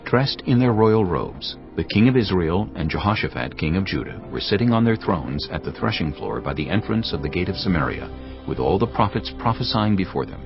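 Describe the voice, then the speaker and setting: A man giving scripted audio Bible narration in a measured, formal manner. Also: low voice, lively intonation. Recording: dull lo-fi audio over music.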